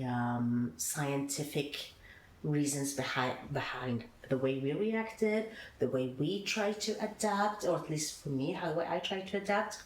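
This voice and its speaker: A woman from Sudan, a founder being interviewed, giving earnest advice in short, halting phrases. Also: sits low. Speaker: guest, founder. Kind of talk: podcast interview answers. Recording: echoey room, faint noise.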